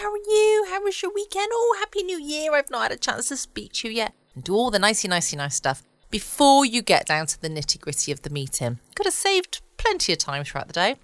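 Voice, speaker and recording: A woman's voice: fairly low pitch, a bit bright, no echo. A young English woman hosting a cozy late-night podcast from home, chatty and soothing. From England. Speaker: podcast host.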